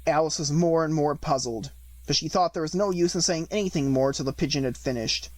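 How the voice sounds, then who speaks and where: A woman reading a storybook aloud as an audiobook narrator, in an expressive scripted style. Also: low voice, brisk, dry recording.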